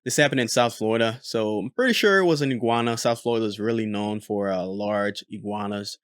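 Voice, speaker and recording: Host, male, ex-controller, lower and smooth, dry close sound, relaxed with lots of fillers. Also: fairly quick, dry recording. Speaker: host, former military air traffic controller. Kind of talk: casual commentary on radio recordings.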